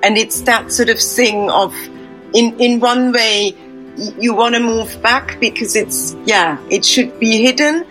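A woman from Switzerland speaking as a sculptor in an interview. She pauses to find her words. Faint music sits underneath. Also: on the high side, fairly bright tone, poor audio. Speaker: sculptor, guest.

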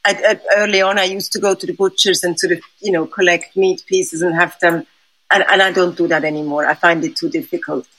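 A woman's voice: lowish voice, steady tone, brisk, a bit bright, low-quality recording. Hesitant raised woman sculptor. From Switzerland. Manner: hesitant, many restarts and fillers, thoughtful. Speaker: sculptor, interview guest. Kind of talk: conversational interview answers.

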